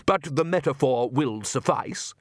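A man voicing a vampire count in an audiobook, a pompous, persuasive father who gets excitable about his reforms. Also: medium pitch, measured pace, no echo, clean studio sound. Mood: smugly reassuring.

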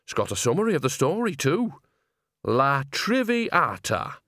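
A man's voice: medium pitch, sing-song, a little slow, bright, forceful tone, no echo, clean studio sound, voice pushed up, exaggerated voice. A man voicing a cheerful, gossipy old woman witch. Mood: chatty. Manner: gossipy, breezy.

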